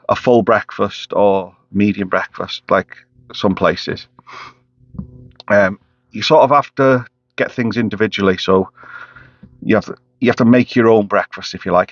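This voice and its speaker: A man's voice: medium pitch, no echo. A middle-aged man casually reviewing a breakfast from inside a parked car, rambling with lots of fillers in an upbeat tone. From Wales. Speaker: food vlogger. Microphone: camera on the dashboard. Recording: slightly muffled, dry car cabin.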